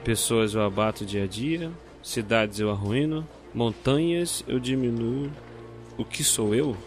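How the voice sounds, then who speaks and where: A wry, composed male police detective , played by an actor, from a radio play. His voice sits at an average pitch. Light music runs under his words. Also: unhurried, close to an everyday voice. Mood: slow, reading. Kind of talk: audio drama acting.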